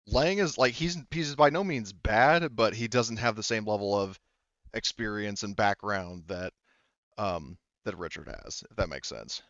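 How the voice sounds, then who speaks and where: A man's voice: fairly low pitch, unhurried, a bit bright, no echo, lo-fi sound. A man chatting casually and explaining things during a tabletop roleplaying game.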